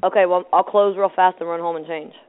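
A woman's voice: lowish voice, fairly even intonation, fast. A woman talks casually over the telephone as a guest on a radio call. Her voice comes through a narrow, tinny phone line. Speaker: person being called by the show. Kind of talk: casual chat.

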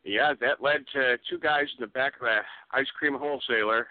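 A man's voice: mid-range voice, normal speed, no room sound. A man chatting casually as a call-in guest, wry and jocular in his remarks. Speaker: guest, film special effects worker. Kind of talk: casual joking chat. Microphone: phone line. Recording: dry, phone-like narrow-band call.

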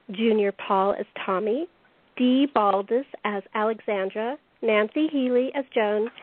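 A woman host narrating a radio play, reading the script deliberately, phrase by phrase. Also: a little high, slight background noise. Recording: dry, tinny phone-line audio.

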